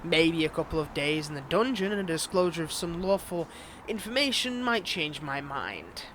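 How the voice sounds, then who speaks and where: Male villain, played by an actor for romantic audio drama: scorned ex, mocking and seductive, teasing drawn-out delivery, clearly noisy outdoor backdrop. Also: fairly high pitch, big pitch swings, fairly bright tone. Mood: smug menace. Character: flirtatious, taunting male villain, a bitter scorned ex-lover. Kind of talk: dramatic audio roleplay acting addressed to listener.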